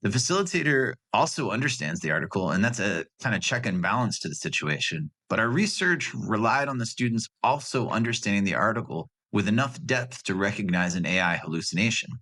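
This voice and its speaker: A man with a low voice and a bright, forceful edge. He presents a recorded class project, talking it through conversationally and dropping in dry asides. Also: very animated, a little fast, few pauses. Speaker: graduate student presenter.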